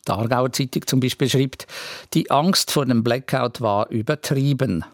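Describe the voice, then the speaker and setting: A man's voice: medium pitch, normal speed, dry recording, studio quality. A man with a Swiss German accent presenting regional radio news from a script.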